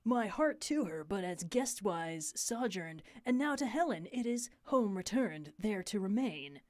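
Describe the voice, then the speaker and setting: A woman voicing a scornful young male lover, declaiming in a theatrical, measured way. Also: mid-range voice, expressive, a little mellow, pitched below the performer's own voice, close to an everyday voice. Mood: dismissive.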